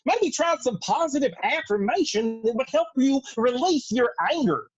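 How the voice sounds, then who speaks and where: Woman as nervous magpie therapist, husky, animated, hesitant, dull lo-fi audio, radio comedy. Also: high-pitched, moderate pace, soft background music. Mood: nervously placating. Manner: hesitant, flustered. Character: nervous magpie psychiatrist and radio host, placating. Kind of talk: comedy radio table read.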